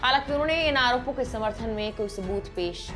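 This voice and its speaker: Female news presenter, somewhat high voice, formal scripted read with emphasis, light music underneath. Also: expressive, a little fast, fairly bright tone. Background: background music.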